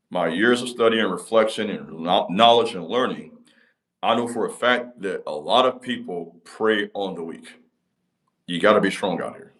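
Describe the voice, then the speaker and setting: A man, giving a motivational talk to camera in an emphatic, repetitive cadence. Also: fairly bright tone. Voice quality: deep voice. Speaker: channel host.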